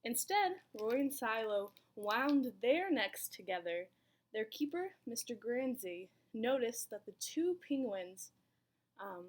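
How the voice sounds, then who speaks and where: A woman reading a children's picture book aloud in an expressive storytelling manner, with dramatic pauses. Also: fairly high pitch. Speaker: volunteer story reader.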